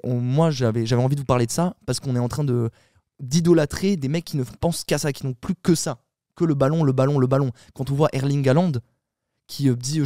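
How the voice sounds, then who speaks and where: A man talks quickly in a dark, mellow voice, recorded close and dry with no room sound. He is a podcast panelist telling a story in an animated way, restarting sentences and filling pauses as he goes. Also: medium pitch, no room sound. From Italian heritage. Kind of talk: casual podcast chat.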